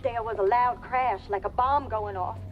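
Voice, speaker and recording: An actress playing a shaken eyewitness interviewed in a video game news report, on a muffled broadcast. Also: female voice, a little high, normal speed, lightly acted. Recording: muffled broadcast with faint hum.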